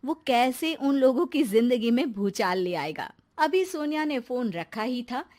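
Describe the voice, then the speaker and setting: A woman's voice: a little high, expressive, measured pace, a little mellow. A woman narrator telling a scripted cartoon story.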